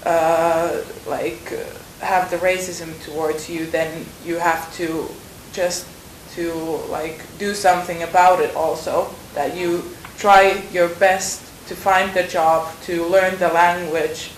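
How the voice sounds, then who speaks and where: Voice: mid-range voice, little pitch movement, slow pace, few pauses, a little hiss or hum. Hesitant speaker in an echoey room. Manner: hesitant, thinking aloud with "uh, like". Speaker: interviewee.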